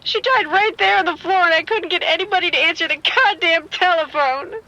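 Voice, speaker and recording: An actress playing a frightened woman who has been bitten and is falling ill, speaking in shaken, halting bursts in an audio drama. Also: very high voice, bright, forceful tone, muffled recording, exaggerated voice. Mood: distraught. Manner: shaken, halting, breathy fear.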